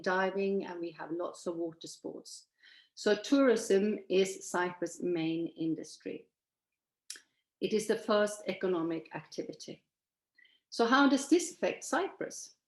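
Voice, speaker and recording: A woman in her sixties, originally from Sweden, talks through a slideshow in an earnest, measured way. She pauses and hesitates now and then. Her voice sits at an ordinary middle pitch and sounds echoey, as if picked up in a bare room over a video call. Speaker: presenter at an online event. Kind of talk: presentation from shared slides.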